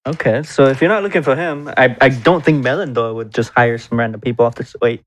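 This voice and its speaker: A man's voice: medium pitch, quick pace. A man playing a tabletop role-playing game, talking in casual banter with the group.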